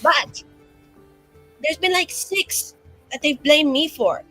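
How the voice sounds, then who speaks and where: A woman's voice: sits high, expressive, slow, sharp tone, faint music behind, poor audio. A woman playing a tabletop game, rambling through in-character banter with profanity and giggles. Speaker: player voicing a mage character.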